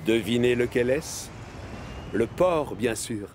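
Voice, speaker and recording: A man narrating a scripted children's story with expressive delivery. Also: mid-range voice, slow pace, noisy background.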